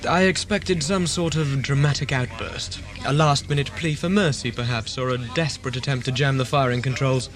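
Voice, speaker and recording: A man's voice: mid-range voice, moderate pace, few pauses, a crowd in the background, lightly acted. A man playing a coolly menacing, formal starship commander in a science fiction audio drama. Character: smooth, menacing male corporate starship commander. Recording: music behind the voice.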